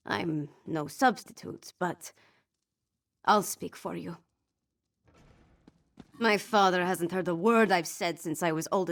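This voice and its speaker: An actress playing a young princess in a fantasy video game, grieving and unsure but resolute, with an informal, self-deprecating way of talking. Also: female voice, fairly low pitch. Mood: grieving, earnest.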